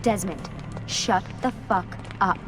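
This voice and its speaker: An actress playing a brisk, commanding young woman leading an escape in a video game. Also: medium pitch, lightly acted, low-quality recording. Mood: exasperated. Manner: urgent, commanding, curt. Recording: game audio under music. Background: game soundtrack music.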